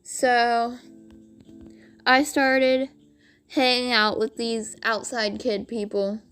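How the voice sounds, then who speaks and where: A woman with a raspy voice telling a rambling storytime, full of "like" fillers, over game footage. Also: fairly high pitch, level tone, extremely slow, fairly bright tone, soft background music. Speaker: vlogger.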